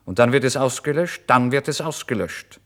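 An actor voicing a brisk, officious male police officer on night duty, clipped and emphatic, in a radio story. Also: medium pitch, lively intonation, fairly bright tone, voice pushed up, lightly acted. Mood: commanding.

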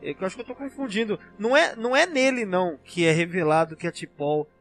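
A man's voice: high-pitched, fast, fairly bright tone, faint music behind. A man with a Brazilian accent in a casual fan discussion, explaining things in an enthusiastic, rambling way as a podcast panelist.

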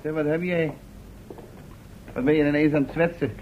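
An actor in an old radio drama playing a shrewd, suspicious older assistant to a professor, dry and bossy. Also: male voice, on the high side, steady tone, moderate pace, lightly acted. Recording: dull old radio recording, faint noise.

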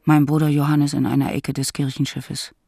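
An actor playing a grave, brooding medieval monk who recalls loss in a solemn, husky voice, as radio drama narration. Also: male voice, fairly high pitch, little pitch movement, normal speed, warm tone, no room sound, studio quality. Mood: grave, shaken.

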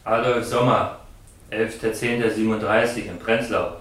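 A man's voice: on the low side, steady tone, moderate pace, a bit bright, natural voice. Very echoey, distant male steelworker acted. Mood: plain, dutiful. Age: 30s. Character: plain-spoken steelworker brigade leader in court. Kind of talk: radio courtroom drama acting. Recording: very echoey, far from mic.

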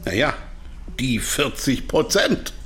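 An actor playing a captive businessman in a radio drama, articulate and argumentative, halting under strain, defensive and bitter. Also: mid-range voice, sing-song, a bit bright, faint music behind. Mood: defensive, indignant.

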